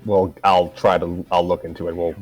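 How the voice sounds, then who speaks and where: A man's voice: sits low, flat delivery, normal speed, a little mellow. A man hosting a casual show, speaking with a dry, mildly exasperated manner. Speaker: host of a fan fiction reading show. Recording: music playing behind the voice.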